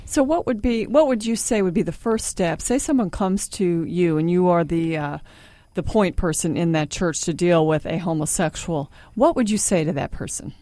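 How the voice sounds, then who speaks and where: Low-voiced woman radio host. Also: dark tone, no echo. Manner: measured, leading questions. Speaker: radio talk show host.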